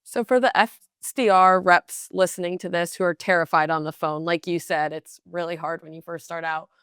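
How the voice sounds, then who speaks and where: A woman's voice: on the low side, fairly even intonation, moderate pace, a bit bright, clean tone, no echo, studio quality. A woman co-hosting a podcast, asking questions in a conversational style. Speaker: podcast co-host.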